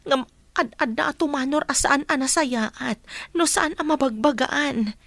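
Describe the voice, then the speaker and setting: A woman's voice: high-pitched, unhurried, vocal fry, a bit bright, no room sound, exaggerated voice. A woman acting a patient, concerned mother in a radio drama, speaking earnestly.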